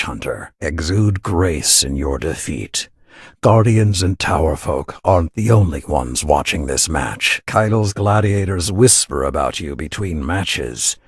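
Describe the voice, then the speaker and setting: Deep, husky warrior lord. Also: male voice, dry recording, clean studio sound, exaggerated voice. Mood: solemn, urging. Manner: measured, stately, encouraging. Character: solemn, proud warrior lord announcing combat matches. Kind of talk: video game voice acting.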